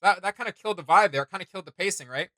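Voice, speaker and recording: A man's voice: sits high, very fast, sharp tone, no room sound. A man hosting a reaction video, commenting casually in informal, slangy phrasing. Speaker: YouTube reaction host. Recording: music playing behind the voice. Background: music.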